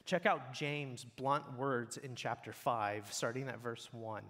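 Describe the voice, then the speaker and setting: A man's voice: mid-range voice, fairly even intonation, slow pace, fairly bright tone. A man preaching a sermon in an earnest, blunt way that builds in intensity. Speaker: pastor. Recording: long hall reverb.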